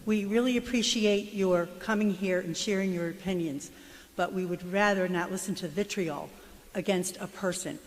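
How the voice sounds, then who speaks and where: A board member calmly and firmly raising a point of order in a city meeting chamber. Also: medium pitch, moderate pace. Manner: polite but firm. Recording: public address audio in a reverberant hall.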